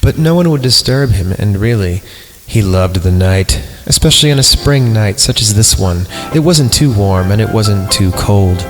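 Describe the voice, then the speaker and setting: A fairly low-voiced man reads a creepy story for laughs, breaking off into dry, sarcastic commentary. Music plays clearly under his voice throughout. He drops in mocking remarks between the lines of the story. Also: animated, moderate pace, hardly any pauses, poor audio. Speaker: podcast host. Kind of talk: comedic reading of a horror story with commentary.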